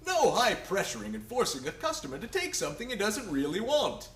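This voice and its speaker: A man's voice: high voice, wildly swooping pitch, a little fast, a bit bright, echoey room, exaggerated voice. A man acting an exuberant, self-satisfied department store boss in a radio play, with grand, emphatic proclaiming. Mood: jubilant, proclaiming.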